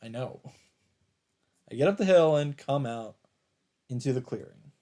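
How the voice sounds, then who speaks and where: A man doing a let's play, reading a visual novel's narration aloud in a measured storytelling delivery. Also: medium pitch, animated, warm tone.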